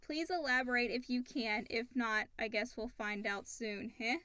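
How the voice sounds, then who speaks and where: A woman's voice: fairly high pitch, steady tone, normal speed, bright, forceful tone, dry recording. A woman co-hosting a casual talk show, chatting and reading out listener questions.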